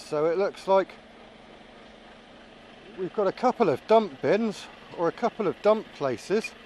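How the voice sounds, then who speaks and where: A man's voice: high voice, lively intonation, a little slow, a little mellow, a little hiss or hum, rough recording. A man giving casual, musing running commentary as a vlogger flying a drone, thinking aloud as he goes. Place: outdoors.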